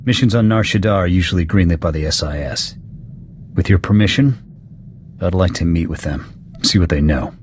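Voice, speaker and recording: An actor playing a gruff, terse soldier in a video game, speaking in short, blunt military sentences. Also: male voice, sits low, moderate pace, pauses often, slight background noise, close to an everyday voice. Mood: determined. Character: gruff, terse male soldier, loyal ex-sniper squadmate. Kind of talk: scripted video game cutscene acting.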